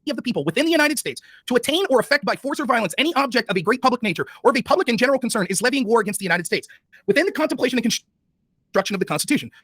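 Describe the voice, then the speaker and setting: Very high-pitched, rapid-talking man debating. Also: big pitch swings, a bit bright, slightly rough, dry recording, studio quality. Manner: insistent, reads legal text quickly. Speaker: debate participant, show host. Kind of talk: online debate, reading court text aloud.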